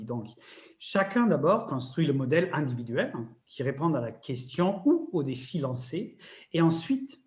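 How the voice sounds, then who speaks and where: A man's voice: a little high, darkish tone. A man facilitator explaining a workshop method in a webinar, hesitant, with many fillers and restarts.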